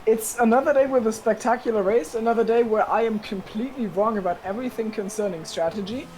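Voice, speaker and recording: A woman's voice: a little high, fast talker, darkish tone, a little hiss or hum, poor audio. A woman co-commentating an online racing league in a loose, chatty, self-mocking way, often laughing. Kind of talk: casual race commentary chat.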